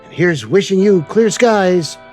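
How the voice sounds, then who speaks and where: A man with a fairly high voice hosts a semi-scripted trucking show. He talks in a playful, folksy way, with soft music playing underneath. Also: expressive, measured pace. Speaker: host.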